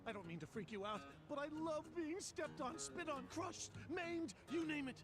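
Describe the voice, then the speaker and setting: Music plays clearly behind an eager, lovestruck masochist from a video game. His high voice swoops widely, theatrical and pleading with overblown politeness. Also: big pitch swings, moderate pace. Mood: courteous, confessing.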